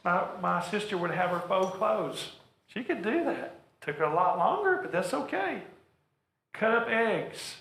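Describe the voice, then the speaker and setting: A man's voice: sits high, sing-song, unhurried, vocal fry, fairly bright tone. A man presenting a church workshop to an audience, talking earnestly and stumbling over repeated words. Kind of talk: practical talk to a church audience. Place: church hall. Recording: roomy echoing hall.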